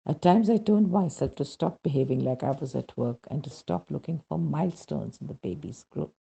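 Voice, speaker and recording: A reader narrating a devotional testimony from a script, with a husky, gravelly voice and a dramatic, emphatic, deliberately phrased delivery. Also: mid-range voice, animated, mellow.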